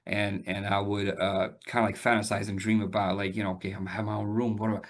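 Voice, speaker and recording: A man in his forties, born and raised in Albania, telling his story in a relaxed interview with lots of fillers and restarts. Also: lowish voice, fast talker. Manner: talks with frequent fillers and repeated starts, laughs now and then. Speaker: realtor guest.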